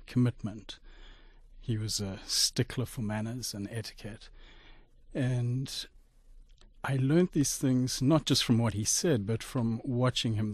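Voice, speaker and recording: A middle-aged man from Zimbabwe, a radio interview guest telling his life story in an articulate, measured way. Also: medium pitch, darkish tone, no room sound.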